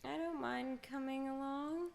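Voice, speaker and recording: A woman's voice: high voice, steady tone, unhurried, gravelly, heavy background noise. A woman casually commenting as she plays a video game on stream and reads some dialogue aloud, reacting openly to what she sees. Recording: faint game music behind the voice. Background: video game music.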